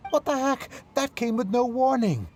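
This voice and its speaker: An actor playing a loyal, blunt young troupe member who talks in an emphatic, sarcastic way. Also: male voice, very high-pitched, expressive, normal speed, darkish tone, slight background noise. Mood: indignant. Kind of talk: video game voice acting.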